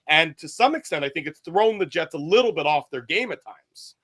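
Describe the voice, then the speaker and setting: A man's voice: a little high, animated, brisk, a bit bright. A man co-hosting a sports talk show, who keeps interrupting with probing questions. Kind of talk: casual sports chat.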